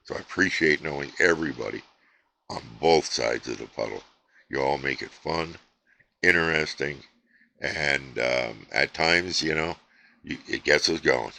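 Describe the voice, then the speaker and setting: Very deep gravelly-voiced man. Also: unhurried, a little hiss or hum. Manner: rambling, relaxed, frequent uh fillers and restarts. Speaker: CB radio hobbyist and channel host. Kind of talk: casual unscripted vlog chat.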